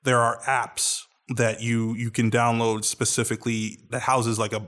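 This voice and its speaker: A man's voice: medium pitch, little pitch movement, moderate pace, fairly bright tone. A man co-hosting a podcast, chatting casually and enthusiastically. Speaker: podcast co-host. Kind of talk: casual chat.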